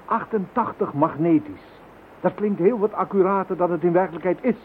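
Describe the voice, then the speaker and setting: An actor playing a calm, matter-of-fact male investigator in a radio drama. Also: sits high, fairly quick, phone-quality sound, a little hiss or hum, natural voice.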